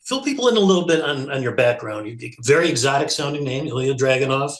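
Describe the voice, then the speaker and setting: A man's voice: medium pitch, lively intonation, fast talker. A man with a mid-range voice asks casual sports interview questions. He feels his way into them, rephrasing as he goes, and the room echoes noticeably around him.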